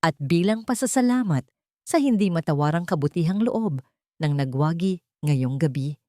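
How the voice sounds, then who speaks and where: A woman's voice: fairly low pitch, lively intonation, moderate pace, warm tone, no room sound, clean studio sound, natural voice. A woman with a Filipino accent voicing a poised young heiress who speaks with composed, sugary politeness in an audiobook drama. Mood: sweetly gracious. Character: poised young heiress designer giving sweetly cutting speech.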